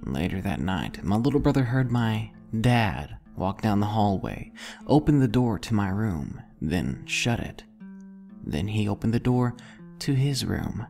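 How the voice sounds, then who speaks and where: Guy reading a ghost story, gravelly voice, deep-ish, music playing under it. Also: sing-song, a little slow, a little mellow. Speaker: horror story narrator. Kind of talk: scripted scary story narration.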